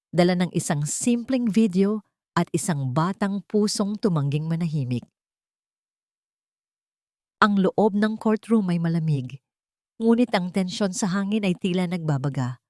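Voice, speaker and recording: Woman narrator for an audio drama, low voice, dry close booth sound, earnest and dramatic. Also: dark tone, dry recording. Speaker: storyteller.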